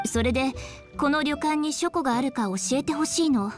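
Woman playing a composed, highish-voiced young scholar. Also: fairly quick, faint music behind, lightly acted. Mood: polite, earnest. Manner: articulate, explanatory. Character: polite, composed young female scholar, earnest and articulate. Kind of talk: anime game voice acting.

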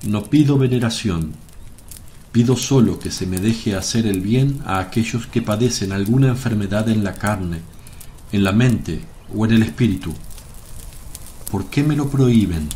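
Grave, gentle rabbi-healer played by a man, radio drama. Lowish pitch, measured pleading delivery, faint noise. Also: lightly acted. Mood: calm, firm. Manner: solemn, measured. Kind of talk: Spanish radio drama acting.

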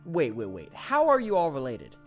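An actor playing a young, dutiful, easily flustered male unicorn squire, hesitant and expressive, in an animated series. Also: mid-range voice, normal speed. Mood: curious. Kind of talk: animated fan series voice acting. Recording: music behind the voice.

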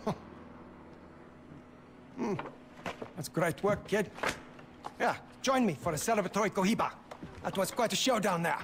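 An actor playing a swaggering, menacing crime boss in a video game, speechifying with theatrical mock geniality. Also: male voice, sits high, lively intonation, moderate pace, pauses often, background noise. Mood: sly, condescending. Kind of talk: video game cutscene acting. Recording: loud music under the voice.

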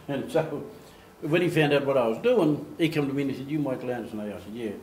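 An older man from Australia, giving an animated storytelling talk at a lectern in a meeting room. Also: medium pitch, fast, slight background noise. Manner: animated, gestures, acts out quoted voices. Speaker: speaker giving a slide talk. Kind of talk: storytelling presentation. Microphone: far camera. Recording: echoey room, faint ambience.

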